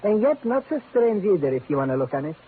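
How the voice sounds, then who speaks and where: A crackly narrow radio recording of a quirky curio dealer with a foreign accent. His voice is high and very animated, rambling eagerly in comic forties character style. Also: fast. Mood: musing. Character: quirky foreign-born male curio shop importer, chatty, forgetful. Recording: noisy lo-fi old radio.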